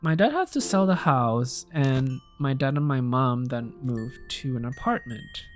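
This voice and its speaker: A woman's voice: very deep voice, expressive, a little slow. A woman from Indonesia chatting casually while playing a cozy game, thinking aloud and telling a personal story. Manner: chatty, thinks aloud, reads game lines and viewer comments aloud. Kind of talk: casual let's-play chat. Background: faint game music.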